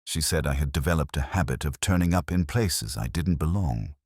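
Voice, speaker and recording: A man narrating a scripted first-person detective story in a measured, formal, literary manner. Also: a bass voice, animated, darkish tone, breathy edge, no echo, studio quality.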